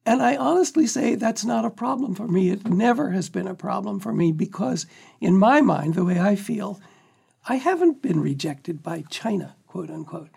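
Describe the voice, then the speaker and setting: A man's voice: sits high, expressive, normal speed, creaky, darkish tone, husky. A man, one of the book's editors, speaking earnestly and reflectively in an interview. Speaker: book editor. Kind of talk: conversational interview.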